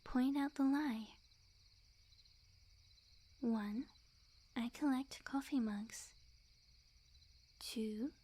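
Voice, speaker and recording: A woman voicing a sweet, obsessively lovestruck, smug young witch in an intimate ASMR roleplay, speaking in a soft breathy near-whisper with quiet menace. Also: fairly high pitch. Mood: smug, teasing. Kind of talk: ASMR roleplay, intimate scripted monologue to the listener.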